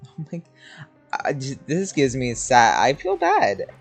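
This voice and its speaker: A game streamer with a very lively, swinging voice chats casually over a playthrough. The delivery is expressive and slangy, with faint music in the background. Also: mid-range voice, unhurried, vocal fry. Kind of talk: casual gameplay reaction chat.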